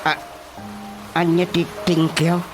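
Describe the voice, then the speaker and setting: A man's voice: fairly high pitch, completely flat delivery, takes it slowly, over music. An actor playing a bossy, fussy village chairman and healer in a radio comedy drama, with an Ilocano Filipino accent and a hesitant, muttering manner. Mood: animated.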